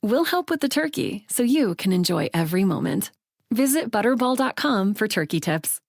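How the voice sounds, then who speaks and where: A woman announcer reads a scripted commercial. Her medium-pitched voice has a clear creak to it. The sound is dry and close. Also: very animated, moderate pace, no room sound. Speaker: advert announcer.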